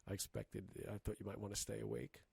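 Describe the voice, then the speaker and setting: A man co-hosting a casual podcast chat, talking in halting bursts with many restarts and fillers. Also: fairly low pitch, little pitch movement, gravelly, a little mellow, breathy edge, no room sound. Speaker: podcast co-host.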